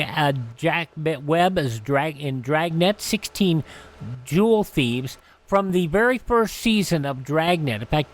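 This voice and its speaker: A man hosting a radio podcast, giving a casual, unscripted rundown in a halting, self-correcting way. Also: a little high.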